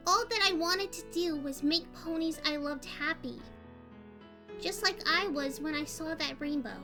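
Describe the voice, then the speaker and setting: A woman voicing a cheerful, hyper young female party pony in a fan fiction audio story, girlish and expressive. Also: unhurried. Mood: fond, wondrous. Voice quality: high-pitched, girlish. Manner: bubbly, expressive. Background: music.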